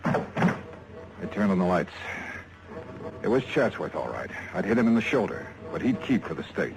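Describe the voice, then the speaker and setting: An actor playing a tough, dry-witted insurance investigator in clipped 1950s radio detective style. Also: male voice, low voice, big pitch swings, moderate pace, vocal fry, exaggerated voice, low-quality recording. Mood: hardboiled, terse. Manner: clipped, terse, hardboiled. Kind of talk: 1950s hardboiled radio acting and first-person narration. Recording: vintage radio drama with music behind.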